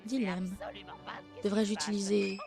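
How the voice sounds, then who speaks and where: A woman's voice: mid-range voice, level tone, a little slow, vocal fry, music in the background. A woman playing a gleefully morbid, bloodthirsty young woman, theatrical in an amateur comedy audio skit. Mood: musing.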